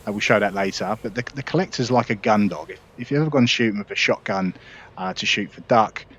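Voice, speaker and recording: A man's voice: lowish voice, fast talker, a bit bright, husky. A man hosting a gaming video, casually walking viewers through his ship setup in a chatty, explanatory way with frequent "uh"s and restarts. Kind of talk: casual gameplay walkthrough.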